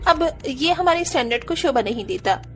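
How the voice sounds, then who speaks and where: A woman's voice: high voice, animated, brisk, faint music behind. A woman voicing a young, spoiled married daughter in a cartoon, speaking haughtily and complaining. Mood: haughty.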